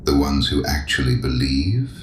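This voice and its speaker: A man in an audio drama playing a sinister, ancient, knowing penitent, courteous and sardonic, with a very deep gravelly voice. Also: animated. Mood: cynical, probing. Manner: deliberate, probing, sardonic, urbane. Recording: echoey room with audible background noise.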